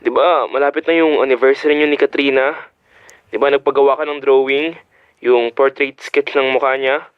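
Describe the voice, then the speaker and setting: A man's voice: medium pitch, fairly even intonation, normal speed, clean tone, no echo, dull audio, lightly acted. A man voice-acting a hesitant, apologetic young friend on a call in a Filipino audio drama. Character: sheepish, apologetic young male friend on phone.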